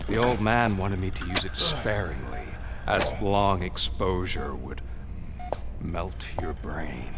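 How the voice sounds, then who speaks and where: Theatrical, slow-talking villain. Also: male voice, low-pitched, expressive, very noisy, full character voice. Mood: quiet gloating. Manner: theatrical, gloating, taunting. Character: smug, menacing male crime boss villain. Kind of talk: video game cutscene acting.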